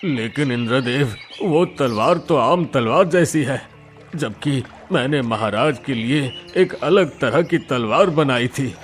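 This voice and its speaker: A man's voice: medium pitch, animated, slow pace, soft background music. A man voicing an anxious, humble poor village blacksmith in a cartoon moral story, pleading and respectful. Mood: puzzled, respectful.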